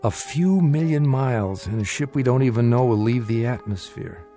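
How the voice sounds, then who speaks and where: An actor in a radio drama playing a calm, careful married father who is secretly plotting, with a guarded manner. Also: medium pitch, moderate pace, soft background music, natural voice. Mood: guarded, secretive.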